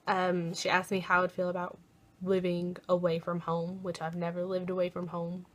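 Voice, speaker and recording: A young American woman chatting casually in a vlog, often pausing as she tries to recall things. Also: fairly low pitch, monotone. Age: young adult. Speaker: college program applicant vlogger.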